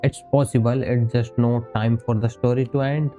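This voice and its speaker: A man's voice: medium pitch, little pitch movement, normal speed, lo-fi sound. A man streaming a story game, casually commenting in a wry, weary way and talking back at the game. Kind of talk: casual let's-play commentary. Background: game music.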